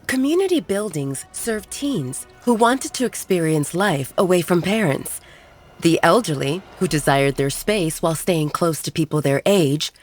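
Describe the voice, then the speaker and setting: A woman's voice: lowish voice, dry recording. A woman reading scripted dramatic narration as the narrator of an audio story. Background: background music.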